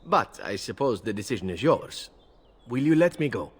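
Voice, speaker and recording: Husky, suave assassin. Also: male voice, mid-range voice, big pitch swings, normal speed. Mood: reflective. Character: suave male rogue assassin, cool, wry, courteous. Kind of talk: video game voice acting.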